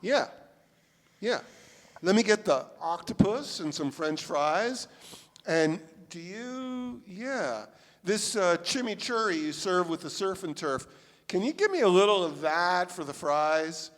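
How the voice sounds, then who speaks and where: A man's voice: sits high, animated, slow, a bit bright, exaggerated voice. A man playing an easygoing, politely curious searcher in a live staged play reading, casual in manner. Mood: casual, inquiring. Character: easygoing, hungry, politely probing searcher for a missing friend. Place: theatre stage before a live audience. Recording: reverberant theatre hall, live stage.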